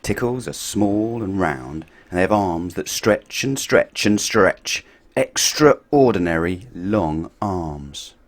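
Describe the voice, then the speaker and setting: Lower-voiced man reading a children's story. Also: slow pace. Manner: expressive, playful storytelling with big swings in pitch and loudness. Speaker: children's book reader. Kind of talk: reading a picture book aloud to children.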